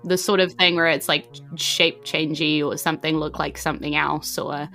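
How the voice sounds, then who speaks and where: Bright-voiced New woman running a game. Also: deep voice, fairly even intonation, normal speed, creaky, soft background music. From New Zealand. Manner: casual, hedging with frequent "like" and "you know". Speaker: game master. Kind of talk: improvised tabletop game narration.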